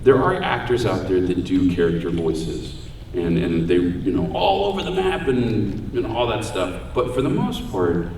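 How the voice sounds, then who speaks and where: A deep-voiced man talks to a convention crowd in an easy, joking way. His voice sits low, and it rings through a big echoey hall. He sounds set back from the microphone. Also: mellow, a little hiss or hum. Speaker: voice actor giving a panel. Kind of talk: convention panel talk. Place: large convention hall room. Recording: echoey hall, voice far from mic.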